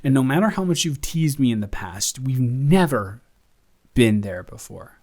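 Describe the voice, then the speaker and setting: A man's voice: mid-range voice, animated, darkish tone. Man acting teasing, affectionate, flustered roommate. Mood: earnest, exasperated. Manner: halting, conversational, self-correcting. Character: teasing, affectionate, flustered young male roommate cuddling a friend. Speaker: audio roleplay performer. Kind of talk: improvised intimate boyfriend-style audio roleplay.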